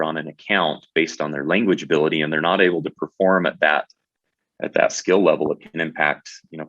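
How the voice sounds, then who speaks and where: Man, very deep voice, webinar presenter, dry muffled audio, conversational. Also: fairly bright tone, dry recording. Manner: conversational, with many fillers and restarts.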